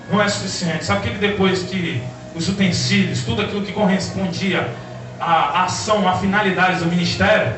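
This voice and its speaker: A man preaching a sermon, impassioned, swinging between hushed passages and shouted calls while addressing the congregation as brother. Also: high-pitched. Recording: echoey hall, voice far from the mic, music behind. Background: music.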